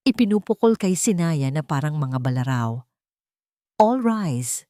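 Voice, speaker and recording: Expressive low-pitched Filipino woman narrator. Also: dry recording, studio quality. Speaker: story narrator. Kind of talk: scripted dramatic narration of a Tagalog love story.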